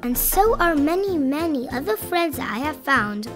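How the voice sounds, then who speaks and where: Female read-aloud narrator for children's rhyming books, high voice, playful and very lively, music underneath. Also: normal speed. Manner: expressive, playful delivery. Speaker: children's picture book reader.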